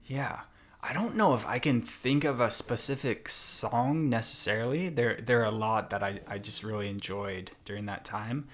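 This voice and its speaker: A young man as a podcast guest, chatting in a thoughtful, unhurried way with many fillers and restarts. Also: mid-range voice, a bit bright. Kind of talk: casual interview conversation.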